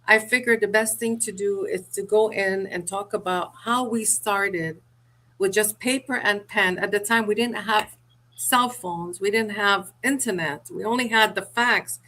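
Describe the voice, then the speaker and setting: A woman's voice: medium pitch, measured pace, bright, forceful tone. A middle-aged woman from Sierra Leone, answering as an interviewed author in an earnest, explanatory manner. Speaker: author and mortgage professional being interviewed. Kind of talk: interview answer about own career and book.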